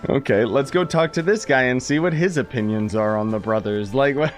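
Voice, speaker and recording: A man's voice: medium pitch, brisk, soft background music. A man giving casual, sarcastic gameplay commentary as a streamer, rambling between the cutscenes.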